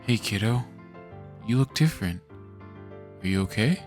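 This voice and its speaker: A man playing a kind, reassuring family friend in a radio drama, talking gently and casually. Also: lowish voice, lively intonation, normal speed, soft background music, close to an everyday voice. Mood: concerned.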